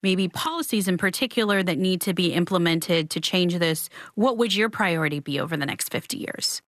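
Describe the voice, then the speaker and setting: A woman's voice: on the low side, brisk, a bit bright, no echo, studio quality. A woman hosting a public radio panel discussion, introducing guests and putting questions to them. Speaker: radio talk show host.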